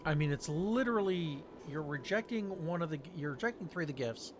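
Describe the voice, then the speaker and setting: A man's voice: fairly high pitch, animated, fairly quick, a little hiss or hum. A man giving casual live commentary as he streams a game playthrough. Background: game music.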